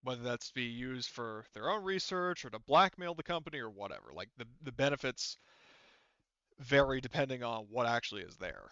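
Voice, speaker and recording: A man working as game master, narrating a tabletop roleplaying game in an informal, halting way as he thinks aloud. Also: medium pitch, expressive, sharp tone, clear, resonant tone, no echo, highs cut off.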